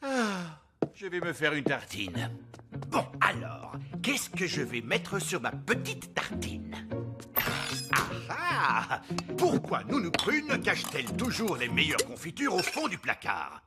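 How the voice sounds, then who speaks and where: A fussy fairy king for a children's cartoon. His delivery is pompous and exclaiming, with lively swings in pitch. Music plays behind him. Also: slow, exaggerated voice. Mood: grumbling. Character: fussy fairy king and father, middle-aged, easily scandalised. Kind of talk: animated children's cartoon dubbing.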